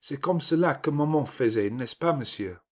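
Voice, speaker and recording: A man voicing an excitable, coquettish little French girl in an audiobook, gushing daintily. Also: a little high, moderate pace, husky, pitched above the performer's own voice. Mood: coquettish, grateful.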